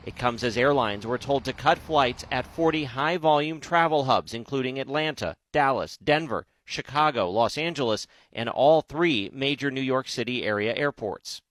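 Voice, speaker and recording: A man's voice: medium pitch, lively intonation, moderate pace, few pauses, a bit bright, no room sound. A man reading a scripted broadcast news report as a television reporter.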